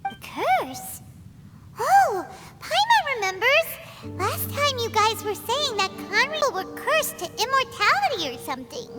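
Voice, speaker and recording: A woman voicing a tiny, curious, excitable fairy girl with a squeaky childlike voice for a video game cutscene. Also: unhurried, exaggerated voice. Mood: curious, eager. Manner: exclamatory, talks of self in third person. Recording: loud music behind, long reverb.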